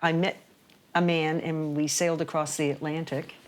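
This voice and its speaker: An American woman who teaches ukulele talks in a friendly, chatty way. Her voice sits a little low for a woman. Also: level tone, moderate pace. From Ipswich near Boston, USA. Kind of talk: casual chat.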